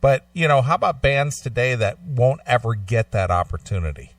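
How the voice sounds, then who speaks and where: A man's voice: mid-range voice, animated, normal speed, no echo, far from the mic. A middle-aged man hosting a radio show, interviewing in a conversational way.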